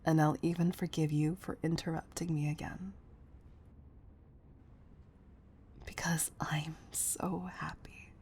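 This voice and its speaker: A woman playing a protective, doting CEO, tough but tender, in an intimate audio roleplay, husky and breathy, often close to a whisper. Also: low voice, level tone, slight background noise. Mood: relieved, doting, firm. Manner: intimate, deliberate delivery with pet names.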